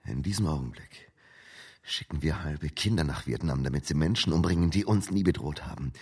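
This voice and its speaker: A calm, reassuring lover in a naturalistic radio drama. His voice sits very low and is strongly husky, with breath in every phrase. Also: a little fast, heavily acted. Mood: earnest, persuading.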